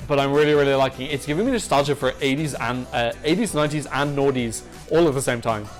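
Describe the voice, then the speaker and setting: A man giving casual, excitable reaction commentary on songs, swearing freely as he chats. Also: mid-range voice, fast. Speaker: Eurovision reaction YouTuber. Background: faint music playing.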